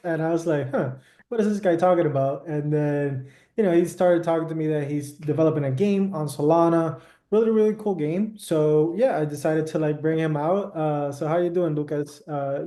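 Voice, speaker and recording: A man's voice: a little high, warm tone, roomy sound. A man hosting a casual video interview, asking loose, upbeat questions full of fillers. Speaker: interview host.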